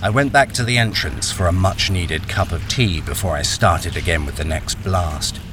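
A man's voice: deep voice, moderate pace, noisy background, close to an everyday voice. A man playing a wary, experienced miner, acting and narrating a radio crime thriller in a guarded, brooding way. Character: wary, experienced male miner, blackmailed, narrating his ordeal.

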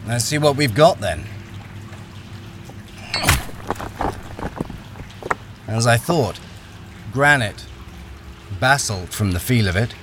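A man's voice: mid-range voice, animated, measured pace, noisy background, lightly acted. A man playing a wary, experienced miner, acting and narrating a radio crime thriller in a guarded, brooding way. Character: wary, experienced male miner, blackmailed, narrating his ordeal.

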